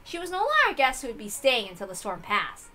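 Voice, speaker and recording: A woman reading a visual novel aloud as expressive story narration. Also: fairly high pitch, fast talker, faint music behind.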